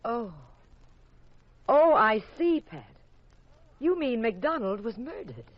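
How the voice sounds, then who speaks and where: A dull old radio recording features a detective's wife and narrator. She is sharp-witted and arch, delivering her lines with a knowing, wry touch in the manner of 1950s mystery drama. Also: on the high side, very animated, slow pace. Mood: dawning realization.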